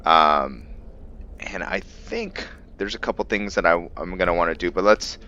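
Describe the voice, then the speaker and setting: A man's voice: deep voice, moderate pace, pushed and bright, dull audio. A man playing a roleplay game and thinking aloud, hesitant, with fillers. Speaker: gamer roleplaying his character. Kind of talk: casual gameplay roleplay commentary.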